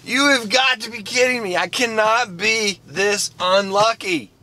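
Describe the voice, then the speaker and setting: Bright-voiced middle-aged man, halting vlog chat. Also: sits high. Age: 50s. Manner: halting, restarts sentences and repeats words. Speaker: vlogger. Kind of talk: casual rambling vlog chat.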